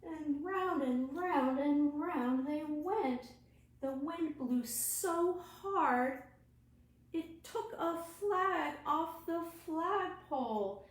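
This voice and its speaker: A woman children's librarian reading aloud to young children in a deliberate, expressive, sing-song way. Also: sits high. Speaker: children's librarian hosting storytime. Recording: roomy, echoing room sound.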